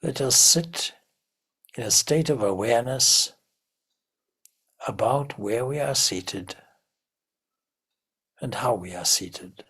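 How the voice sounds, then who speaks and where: A man leading a guided meditation as a teacher, giving gentle, measured instructions with long pauses between phrases. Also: medium pitch, animated, slightly rough.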